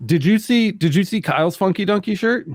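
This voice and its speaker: Podcast host, male, 30s, relaxed rambling talker, close dry mic. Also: high voice, brisk, dry recording. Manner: laid-back, rambling, lots of "like" and "dude". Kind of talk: casual chat.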